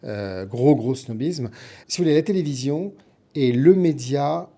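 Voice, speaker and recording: Middle-aged guy being interviewed, soft mellow voice, explains stuff with lists and repeats. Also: medium pitch, expressive, a little slow. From born in Milan, Italy. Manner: explanatory, builds points through lists and repetition. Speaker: interview guest, TV producer and writer. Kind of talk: conversational interview answers.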